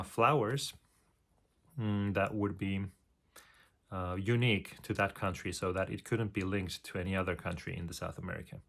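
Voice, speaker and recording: A man talks through his composing step by step, pausing often on fillers and humming short melody lines. His voice sits low with a gravelly, creaky edge. He explains things in a hesitant, searching way. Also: fairly bright tone. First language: Finnish.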